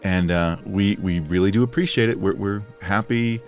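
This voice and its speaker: A man's voice: on the low side, moderate pace, soft background music. A man co-hosting a podcast in casual chat, playful and joking, his voice scratchy and dry from allergies. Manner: playful, joking, laughs often. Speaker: podcast co-host.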